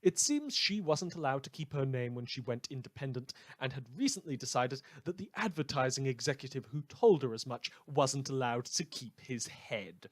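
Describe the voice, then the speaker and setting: A man's voice: mid-range voice, dry recording. A man playing a villainous, anarchic radio host who tells stories in a theatrical, dramatic scripted monologue. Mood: gleeful, anarchic. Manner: theatrical, storytelling with dramatic emphasis.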